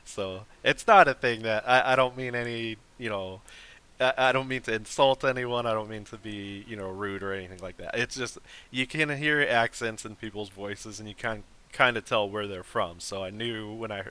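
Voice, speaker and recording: A man's voice: on the low side, moderate pace, bright, forceful tone, smooth, rough recording. A man hosting a live stream, chatting casually with a guest in a rambling way full of fillers and restarts. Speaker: host.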